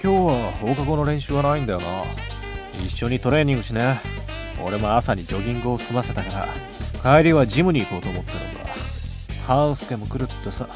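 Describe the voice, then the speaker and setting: A man playing a brash, sporty teenage schoolboy in an anime-style voice drama, talking in a rough, informal way. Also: medium pitch, lively intonation, a little fast, over music, natural voice. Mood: casual, animated.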